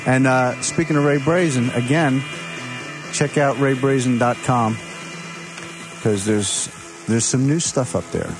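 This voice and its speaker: A man's voice: mid-range voice, unhurried, long pauses, over music. The guy on a weekend rock radio show, cracking puns and trading trivia from the studio as records play behind him. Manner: joking, with puns and rambling asides. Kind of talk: casual music trivia banter.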